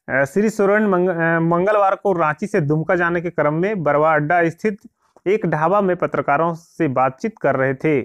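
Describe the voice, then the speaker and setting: A man hosts an informal online news update. He addresses viewers directly in an explanatory way, sometimes slipping into reading aloud. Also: on the high side. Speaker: YouTube channel host.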